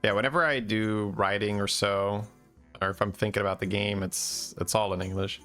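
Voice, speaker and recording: A man giving relaxed, chatty game commentary and answering chat questions, with faint music behind. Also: fairly low pitch, normal speed. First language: Dutch. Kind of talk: casual gameplay commentary.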